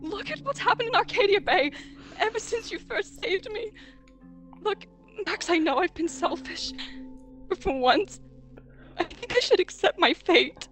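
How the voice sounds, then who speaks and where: A woman's voice: very high-pitched, animated, measured pace, long pauses, fairly bright tone, soft background music, pitched above the performer's own voice, heavily acted. A woman playing an anguished, self-blaming young woman in a dramatic fan scene, earnest and pleading. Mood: pleading, resigned.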